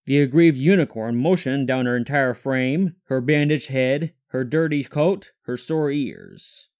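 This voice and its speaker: A man's voice: mid-range voice, measured pace, a little mellow. A man reading scripted third-person story narration as the narrator of a fan audio drama.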